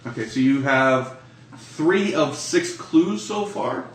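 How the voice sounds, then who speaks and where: A man's voice: mid-range voice, expressive, slow pace. Man running a tabletop game, echoey room. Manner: profane, slangy, conversational. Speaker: game master. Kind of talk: casual improvised tabletop game narration. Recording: echoey room, distant mic, music underneath.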